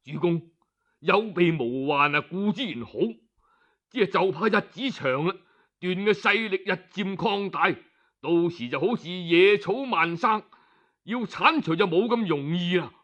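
A man's voice: high-pitched, lively intonation, measured pace, fairly bright tone, muffled recording. A man voicing a shrewd, respectful minister of an ancient Chinese court, speaking Cantonese in an earnest, persuasive manner. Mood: earnest urging. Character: deferential, shrewd male minister advising his lord.

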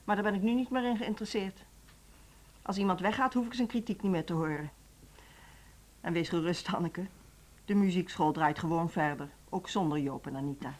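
A woman's voice: lowish voice, fairly quick, long pauses, close to an everyday voice. An actress playing a dry, matter-of-fact, decisive woman who runs a music school, in a Dutch radio drama. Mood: curt, determined.

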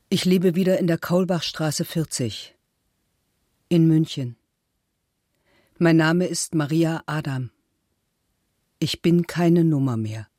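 A woman playing a released prisoner who soberly introduces herself in a measured radio play monologue. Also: sits low, warm tone, no echo, studio quality.

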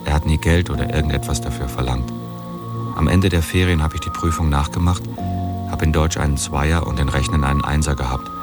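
Very deep-voiced man recalling school. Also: steady tone, a little fast. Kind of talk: personal recollection. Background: music.

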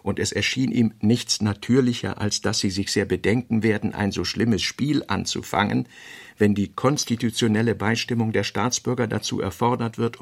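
A man reading fragmentary essayistic literary notes in a deliberate, clipped scripted radio delivery. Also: fairly low pitch, vocal fry, no room sound.

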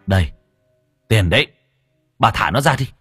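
Man acting a blunt, husky, indignant male bystander. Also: lowish voice, expressive, moderate pace, fairly bright tone, dry recording, faint music behind. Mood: curt. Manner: reproachful, blunt. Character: indignant male bystander stepping in, decent and firm.

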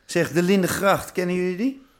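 A man's voice: high voice, a little fast, natural voice. A man playing a wry, militant squatters' adviser in a radio drama, speaking informally and knowingly. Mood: wry, indignant. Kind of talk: naturalistic Dutch radio drama acting.

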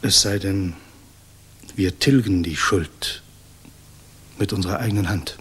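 An actor playing a grave, guilt-ridden idealist of the German resistance in a 1960s radio drama, speaking solemnly and deliberately with weighty pauses and a slightly husky voice. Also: male voice, low-pitched, fairly bright tone, full character voice. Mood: solemn, urgent.

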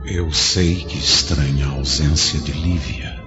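Super deep slow-talking spirit guide, stern, heavy music under, muffled. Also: male voice, steady tone. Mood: counseling. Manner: slow, authoritative counsel. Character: wise male spirit guide, calm and stern. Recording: dull lo-fi audio with strong music.

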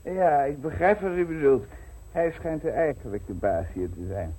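An actor in a radio play voicing an earnest, polite and rather naive duke with an eager manner. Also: male voice, on the high side, animated, moderate pace, mellow, husky, low-quality recording. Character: earnest, courteous, somewhat naive young duke.

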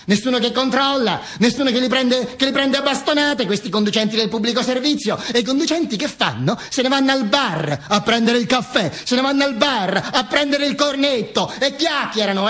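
An actor playing a vain, ranting, self-mocking dead dictator in an emphatic, declamatory theatrical radio monologue. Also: male voice, extremely high pitch, a bit bright. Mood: indignant ranting. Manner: emphatic, declamatory, with insistent repetitions and interjections. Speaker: stage actor performing own monologue.

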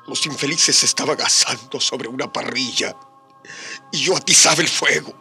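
An actor playing a gravely wounded, repentant conquistador soldier in a radio drama, with halting, labored speech. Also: male voice, mid-range voice, sharp tone. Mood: tormented, remorseful. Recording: radio drama with music behind.

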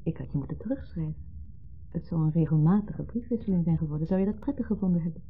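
An actress in a 1970s radio play voicing a composed, evasive wife with a Netherlands Dutch accent, who speaks in a measured, matter-of-fact way. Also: female voice, lowish voice, breathy edge. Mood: restrained. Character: composed, evasive woman between husband and former lover. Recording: muffled, band-limited 1970s radio recording.

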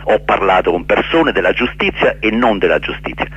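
Low-voiced man, dull noisy recording. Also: animated, a bit bright. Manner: earnest, halting, emphatic repetitions. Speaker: interview guest, actor and director. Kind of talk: radio interview answers, explaining his play.

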